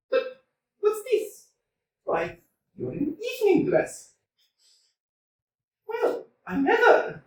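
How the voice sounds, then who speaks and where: A woman's voice: extremely high pitch, a little mellow, heavily acted. A woman voicing an indignant, proud young landowner in a staged reading of a stage comedy, with an emphatic, argumentative delivery. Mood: teasing. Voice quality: emphatic, argumentative, sing-song outbursts. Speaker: community theater actor. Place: small community theater. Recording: echoey room, from far off, faint noise.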